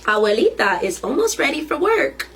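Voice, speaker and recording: A woman's voice: sits high, sing-song, normal speed, a bit bright, a little hiss or hum. A woman reading a children's picture book aloud as a storytime reader, expressively and with questions to the listeners.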